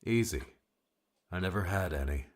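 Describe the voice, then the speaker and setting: Casting: male actor as cold, weary guardian for audiobook drama, extremely low voice, terse and dismissive. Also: animated, moderate pace. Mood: guarded, matter-of-fact. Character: curt, aloof male guardian, a weary loner.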